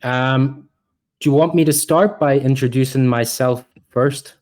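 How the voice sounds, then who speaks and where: A man's voice: medium pitch, fairly even intonation, unhurried, darkish tone. A man from Ireland with an Irish accent, a project co-founder speaking as a guest, hesitant with many ums and restarts. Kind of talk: casual interview talk.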